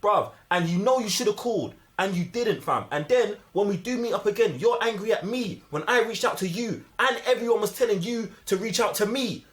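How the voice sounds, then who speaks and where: A man's voice: high-pitched, lively intonation, brisk, hardly any pauses, fairly bright tone. A man with a London accent in a casual, slang-filled film debate, speaking insistently and emphatically. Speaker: film review host.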